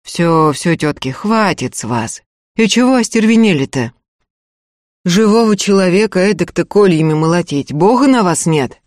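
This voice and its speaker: A woman's voice: on the low side, animated, moderate pace, a little mellow, dry recording, clean studio sound, close to an everyday voice. A woman voicing an easygoing strong young peasant man. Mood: coaxing. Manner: peaceable coaxing.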